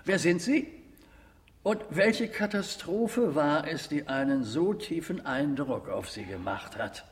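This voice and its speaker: An actor playing a stern but courteous committee president, questioning with formal authority in a radio drama. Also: male voice, fairly high pitch, lively intonation, slow pace, slight background noise. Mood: courteous, probing. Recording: hall with long reverb.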